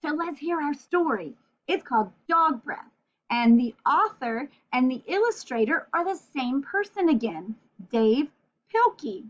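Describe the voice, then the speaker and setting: Lady reading to little kids like a teacher, takes it real slow, sing-songy, bit muffled lo-fi audio. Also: high voice. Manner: sing-song and expressive, with drawn-out key words and questions to the listener. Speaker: children's teacher and storybook reader. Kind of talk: read-aloud vocabulary lesson for young children.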